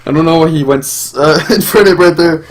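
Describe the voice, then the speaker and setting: A man's voice: a little high, a little fast, slight background noise. A young man whose first language is French, hosting his own channel and giving live, rambling commentary over a game with lots of fillers and restarts. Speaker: gaming channel host.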